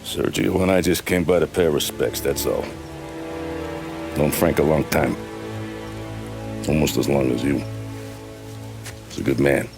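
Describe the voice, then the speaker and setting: An actor playing a grave, menacing gangster boss in a video game, coolly insinuating, with clipped, deliberate, sardonic delivery. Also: male voice, rumbling low, gravelly, music in the background, full character voice. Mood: cold mock-condolence. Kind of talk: cinematic video game acting.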